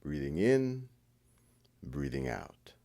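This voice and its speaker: Low-voiced man guiding a meditation. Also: big pitch swings, slow, creaky, mellow. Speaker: meditation guide. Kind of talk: guided meditation, informal and conversational.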